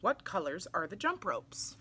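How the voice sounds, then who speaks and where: A woman reading a picture book aloud to young children in an animated, exclamatory way. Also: fairly low pitch, measured pace, a little hiss or hum. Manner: animated, exclamatory, with audible gasps. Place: small indoor room, seated in armchair.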